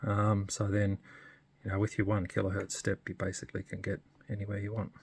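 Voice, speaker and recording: Low gravelly man, casual and understated. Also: completely flat delivery, a little fast, slight background noise. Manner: hesitant, thinking aloud, understated. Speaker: radio hobbyist presenting a modification demo. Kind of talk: casual unscripted hands-on demonstration.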